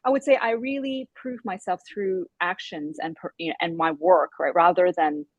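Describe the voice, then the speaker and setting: A woman's voice: on the low side, moderate pace, no echo, dull audio. A woman from Hong Kong, a modest guest on a video call interview who laughs easily.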